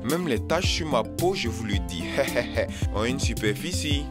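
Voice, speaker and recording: A man's voice: mid-range voice, expressive, a little slow, close to an everyday voice. A man voicing a jovial spotted animal in a children's cartoon, half-speaking a playful, rhythmic teaching chant. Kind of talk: educational cartoon song, half-spoken chant. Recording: loud music under the voice. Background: loud song backing music.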